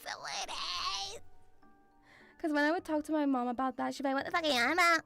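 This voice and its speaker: A woman with a mixed European accent touched by Scottish, chatting casually as a livestream host in an excitable, rambling, sweary way. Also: high-pitched, fairly quick, vocal fry, faint music behind. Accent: mixed European with Scottish influence. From Lithuanian-Russian background, partly grew up in Scotland.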